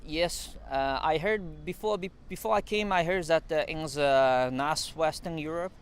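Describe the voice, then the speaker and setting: Man with a Chinese accent, halting English. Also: on the high side, clean tone. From China. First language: Mandarin. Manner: halting non-native English with frequent fillers and restarts; chuckles now and then. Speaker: interview guest. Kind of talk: casual interview.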